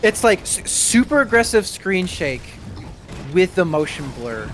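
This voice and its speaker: High-voiced man with swooping, lively pitch. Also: unhurried. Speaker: game streamer. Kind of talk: casual chat over gameplay. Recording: steady background game noise. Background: video game sounds.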